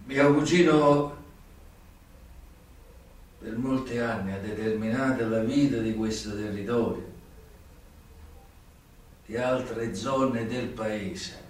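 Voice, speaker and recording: An actor playing a worldly, sly old mafia turncoat in a radio drama, with a southern Italian accent and weighty short phrases between long pauses. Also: male voice, on the low side. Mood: knowing, grave. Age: older. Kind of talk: theatrical radio drama acting. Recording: very echoey stage, distant microphone.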